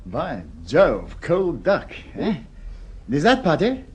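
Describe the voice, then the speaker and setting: An actor with an English accent playing a besotted, romantic gentleman in an old-fashioned radio drama, gushing and a little hesitant. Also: on the high side, wildly swooping pitch, slow pace, breathy edge, full character voice. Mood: delighted.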